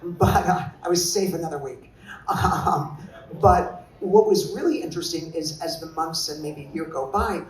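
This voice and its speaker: A man designer on a panel, telling a story in an animated way and acting out what people said. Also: on the high side, normal speed, reverberant, far from the mic, rough recording.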